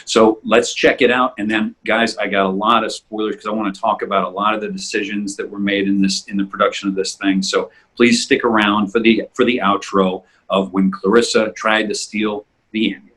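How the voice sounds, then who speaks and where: A man's voice: lowish voice, flat delivery, brisk, hardly any pauses, fairly bright tone, slightly rough, rough recording. A man hosting a casual podcast chat. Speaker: host.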